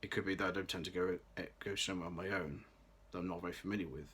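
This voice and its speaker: Low-voiced young man, bright tone. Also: steady tone, very fast, a little hiss or hum. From Birmingham, England. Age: late 20s. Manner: careful, read-aloud delivery with occasional restarts. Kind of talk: scripted personal vlog.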